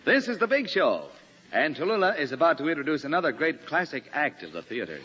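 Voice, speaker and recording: A male 1950s radio announcer reads sponsor copy in a polished, emphatic way. The voice is forceful and swoops with energy, heard through a dull vintage recording. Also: big pitch swings, a little fast, husky. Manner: polished, emphatic pitchman delivery.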